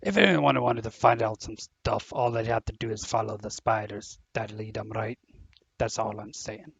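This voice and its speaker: A man playing a gruff, loyal groundskeeper in a fan audio drama, blunt and folksy with a husky, gravelly voice. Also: on the low side, normal speed, no echo. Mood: careful, hinting. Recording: dull, lo-fi, dry voice track.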